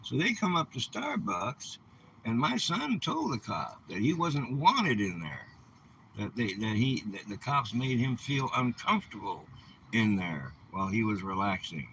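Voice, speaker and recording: A man's voice: medium pitch, big pitch swings, a little slow, fairly bright tone, a little hiss or hum, low-quality recording. A man in his seventies delivering an aggrieved personal vlog monologue in an indignant, emphatic way. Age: 70s. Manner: indignant, emphatic, rhetorical questions. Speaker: vlogger.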